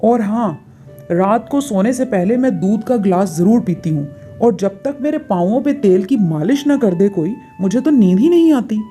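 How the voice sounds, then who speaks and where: A bossy older auntie in a family storybook tale, acted by a woman. She orders and finds fault in a soft-edged, mid-pitched voice, with music underneath. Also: animated, normal speed, natural voice. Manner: commanding, complaining. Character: bossy, demanding, fault-finding older visiting aunt.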